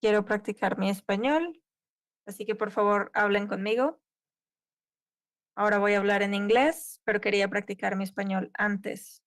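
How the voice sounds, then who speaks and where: A woman's voice: medium pitch, fairly even intonation, normal speed, no room sound. A woman interpreting a conference tech talk live, keeping pace with occasional cut-off restarts. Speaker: simultaneous interpreter.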